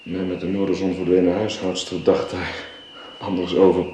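A man's voice: low-pitched, flat delivery, normal speed, warm tone, natural voice. An actor playing a courteous, embarrassed, affectionate father in a radio play, speaking formally and hesitantly. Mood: apologetic. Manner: hesitant, formal, repeats his words. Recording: roomy echo, faint noise.

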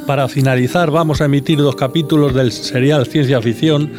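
A man's voice: medium pitch, steady tone, a little fast. A man presenting a radio programme from a script. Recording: music behind the voice.

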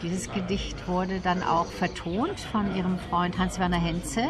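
A woman speaking as an interview guest, explaining literature in a thoughtful, deliberate, articulate way. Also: lowish voice, little pitch movement, over music.

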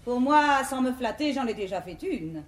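An actress playing an imperious, learning-obsessed bourgeois matriarch in a classical French verse stage comedy, grand and declamatory. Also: female voice, sits high, expressive, moderate pace. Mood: lofty pride. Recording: echoey 1950s theatre recording, faint noise.

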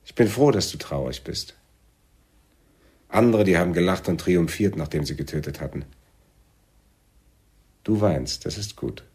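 Brief: low-voiced, husky male militant chief for radio thriller; measured, watchful, probing. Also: expressive, a little mellow, exaggerated voice. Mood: tender, solemn. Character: wary, soft-spoken male Palestinian militant leader, suspicious lover. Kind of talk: German radio play acting.

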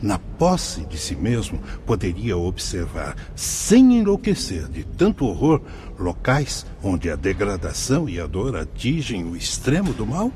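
An actor playing a learned, patient spirit guide in a radio drama, speaking formally, emphatically and didactically. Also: male voice, on the low side, wildly swooping pitch, a little slow, darkish tone, slight background noise. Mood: grave, explaining. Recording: music behind the voice.

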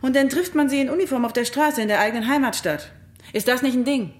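An actress playing a brusque, guarded, ironic university academic in a radio drama. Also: female voice, sits high, fast, lightly acted. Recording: echoey room.

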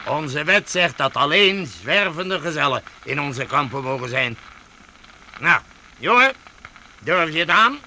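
A man acting in a Dutch radio play as a rough, jovial leader of a medieval vagrant band, bossy and halting. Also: a little high, animated, bright, forceful tone, slight background noise, exaggerated voice. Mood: hearty, commanding. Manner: hearty, bossy, halting with interjections.

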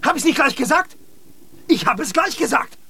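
A man's voice: extremely high pitch, big pitch swings, moderate pace, bright, forceful tone, full character voice. A man playing a bitter, hot-tempered polar explorer who rants and curses in a German radio drama. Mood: sour, sarcastic. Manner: ranting, cursing, sarcastic.

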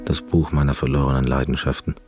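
A man's voice: extremely low pitch, little pitch movement, fast, music in the background. An actor playing an eccentric, obsessive, reclusive male pianist in a radio drama, rambling and theatrical in an intimate confessional way. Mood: intimate, yearning. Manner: rambling, theatrical, self-absorbed.

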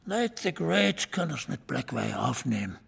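Very husky, creaky regular. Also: male voice, mid-range voice, sing-song, unhurried, a bit bright, exaggerated voice. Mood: insistent. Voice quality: very husky, gravelly. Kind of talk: audio drama acting.

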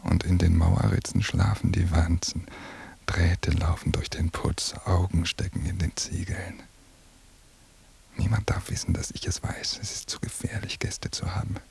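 An actor playing a paranoid, obsessive surveillance man in a radio drama monologue, brooding in a gravelly, hushed half-whisper. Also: low-pitched, steady tone, unhurried, heavily acted.

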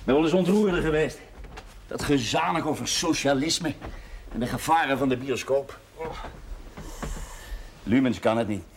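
A man's voice: mid-range voice, normal speed, long pauses, natural voice. An actor with a Dutch accent playing a sardonic, evasive husband and new father in a period television drama, with a dry, brusque manner. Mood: dry, teasing. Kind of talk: naturalistic television drama acting. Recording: noisy 1970s television soundtrack.

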